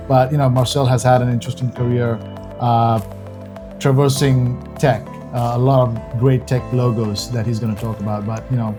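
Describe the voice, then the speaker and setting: Hesitant male podcast co-host over music. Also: medium pitch. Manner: casual and hesitant, with many "uh" fillers. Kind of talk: introducing a guest. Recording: music playing behind the voice.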